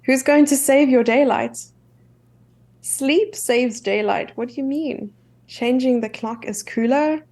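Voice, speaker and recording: South african woman streamer, young, casual chess commentary, a bit of vocal fry. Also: high voice, a little mellow. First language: English. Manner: chatty, self-deprecating, quick asides.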